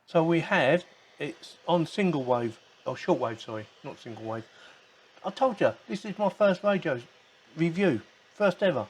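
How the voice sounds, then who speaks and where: A man's voice: on the high side, slightly rough. A man giving a casual hands-on unboxing and review, informal and rambling as he thinks aloud. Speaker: host of a tech review channel.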